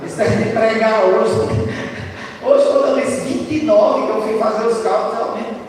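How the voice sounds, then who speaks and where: Hoarse guy preaching loud in a church, kinda high voice, big echo, mic far off. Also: normal speed. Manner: loud, conversational preaching with frequent tag questions. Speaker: lay preacher. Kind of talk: sermon. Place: church sanctuary. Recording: distant, echoey church hall.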